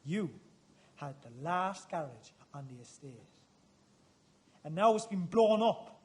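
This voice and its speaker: Man acting menacing, high-voiced hothead. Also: animated, takes it slowly. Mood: menacing, indignant. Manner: needling, repetitive, swearing. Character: aggressive, menacing vigilante brother, Francis, foul-mouthed and touchy. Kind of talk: radio play acting.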